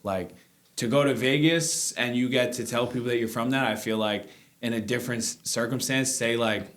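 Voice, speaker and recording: A man's voice: medium pitch, fairly even intonation, moderate pace, fairly bright tone. Male panelist, New York accent, mid-range voice, slangy rambling sports banter. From Queens, New York. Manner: slangy, rambling, quotes imagined speakers. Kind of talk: casual sports chat.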